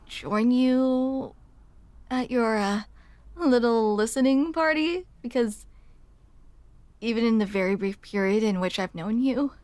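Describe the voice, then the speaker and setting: A woman's voice: fairly high pitch, a little mellow. A young woman playing a grumpy, smitten barista in an intimate ASMR roleplay, awkward and hesitant as she confides in the listener. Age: young adult. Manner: awkward, hesitant, self-deprecating, confessional.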